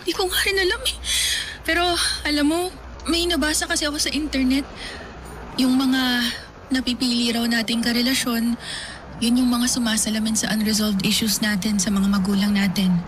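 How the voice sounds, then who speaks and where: Actress as a young woman, abuse survivor, wry and resigned, slightly high voice, faint background hiss. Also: steady tone, normal speed, long pauses, a bit bright, close to an everyday voice, rough recording. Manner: wry, resigned, laughs off pain. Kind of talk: Filipino radio-style drama acting.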